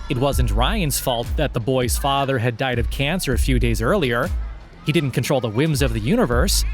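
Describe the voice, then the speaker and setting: Male narrator, slightly high register, deliberate and wryly ironic delivery of satirical audio fiction, music underneath. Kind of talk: scripted satirical story narration.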